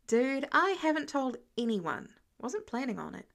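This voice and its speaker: A woman's voice: high voice, sing-song, unhurried, vocal fry, fairly bright tone, exaggerated voice. A sarcastic and bookish teenage boy in a story reading, played by a woman. He is guarded, with a wry, casual way of talking.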